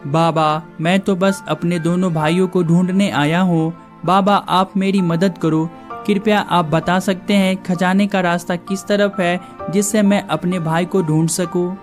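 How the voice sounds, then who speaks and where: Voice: mid-range voice, fairly even intonation, measured pace, faint music behind, natural voice. An actor voicing a polite, earnest young village man, the youngest brother in a cartoon story. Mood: earnest, pleading. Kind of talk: cartoon moral story dialogue.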